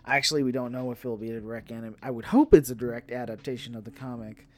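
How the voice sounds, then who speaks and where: A man's voice: mid-range voice, quick pace, a little mellow, no room sound. A man co-hosting a podcast in casual chat, emphatic and prone to repeating himself. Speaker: podcast co-host. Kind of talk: casual nerd-news chat.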